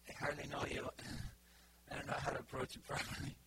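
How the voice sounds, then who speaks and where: A middle-aged Bulgarian man speaks to his church in a loose, informal sermon, his speech full of stops, fillers and restarts. He speaks English with a Bulgarian accent. The live recording carries a clear layer of background noise. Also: medium pitch, monotone. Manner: halting, many fillers and restarts. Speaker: preacher.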